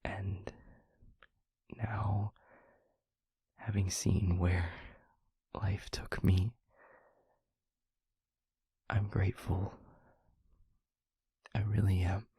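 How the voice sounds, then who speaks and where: A man playing a brooding, gentle vampire boyfriend in an intimate audio roleplay, speaking in a breathy, gravelly half-whisper with halting, earnest delivery. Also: low-pitched, flat delivery, no room sound, heavily acted. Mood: somber, reflective. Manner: halting, hushed, earnest, with long pauses. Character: brooding, gentle male vampire boyfriend confiding his past. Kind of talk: intimate audio roleplay, soft confessional monologue.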